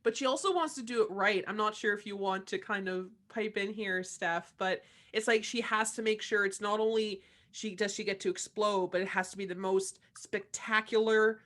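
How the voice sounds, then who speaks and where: A woman's voice: mid-range voice, fairly bright tone. A woman talking casually as a cast member in a discussion of an audio drama.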